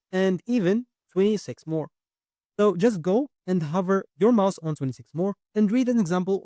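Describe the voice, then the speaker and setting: Higher-pitched, mellow male tutorial presenter. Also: no echo, clean studio sound. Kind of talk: step-by-step software tutorial, unscripted.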